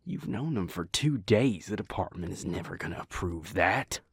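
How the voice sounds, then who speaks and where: A man's voice: low-pitched, animated, normal speed, vocal fry, breathy edge, dry recording, heavily acted. A man playing an exasperated, blunt and defensive male vampire in an audio roleplay, terse and argumentative. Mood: incredulous, angry.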